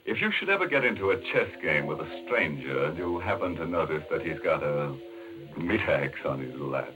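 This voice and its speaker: Deep, theatrical, mock-ghoulish radio host. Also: male voice, sing-song, moderate pace. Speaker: horror anthology host. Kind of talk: macabre, jokey 1940s radio hosting. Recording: muffled vintage radio, faint music behind.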